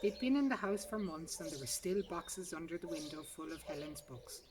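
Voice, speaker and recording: A woman's voice: low voice, lively intonation, normal speed, background noise. A woman reading a literary short story aloud outdoors in a garden. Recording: distant mic, loud outdoor birdsong. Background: birdsong.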